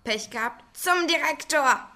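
A young teenage girl with a bright, crisp and fairly high voice speaks in a class radio report. Her delivery is recited and sing-song. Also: expressive, measured pace. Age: young teen.

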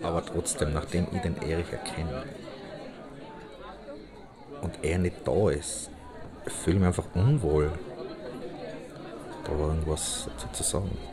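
A man's voice: very deep voice, steady tone, measured pace, long pauses, a crowd in the background. An actor playing a reticent, plain-spoken man who is uneasy and reluctant to talk. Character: reticent man uneasy talking about an absent friend. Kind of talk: naturalistic audio drama.

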